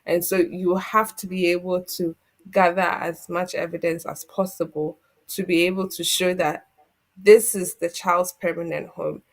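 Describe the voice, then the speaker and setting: A woman working as an immigration solicitor, calmly explaining legal requirements step by step on a community TV programme. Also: fairly low pitch, unhurried. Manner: steady, methodical, explanatory.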